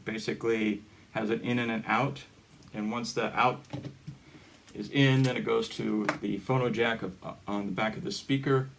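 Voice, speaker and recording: A man with a lowish voice walks through a gear demonstration casually and off the cuff. He rambles and halts as he explains each piece. The recording has clear background noise and clicks. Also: steady tone, normal speed. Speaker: hobbyist presenter giving a gear tutorial.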